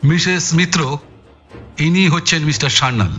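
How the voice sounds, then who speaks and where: An actor playing a brusque, menacing, sarcastic police inspector in an audio drama, needling with emphatic, taunting politeness. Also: male voice, on the high side, moderate pace, fairly bright tone, muffled recording, soft background music, lightly acted. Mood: sardonic.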